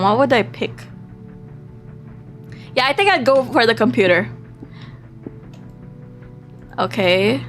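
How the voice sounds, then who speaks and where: A woman livestreaming a visual novel, reading aloud with expressive, giggly reactions and the odd stumble over words. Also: fairly high pitch. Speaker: live streamer playing and reading a visual novel. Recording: music playing behind the voice.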